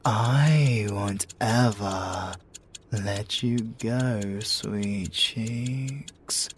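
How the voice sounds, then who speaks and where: Man playing a very slow, possessive British siren. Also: lowish voice, slight background noise. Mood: tender, possessive. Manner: slow, crooning, swinging from menacing to tender. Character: possessive male siren with talons, violent yet tenderly obsessive. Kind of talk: ASMR roleplay acting.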